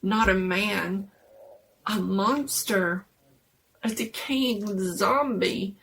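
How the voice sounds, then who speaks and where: Slow, creaky woman narrating horror. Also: medium pitch, fairly bright tone, a little hiss or hum, low-quality recording. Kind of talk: dramatic scripted horror story narration.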